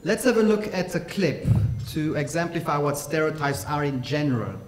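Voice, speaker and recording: A middle-aged man with an Austrian accent delivers a workshop lecture. His voice sits a little high for a man, and he talks in a measured way, stopping often for small fillers. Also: expressive, slight background noise.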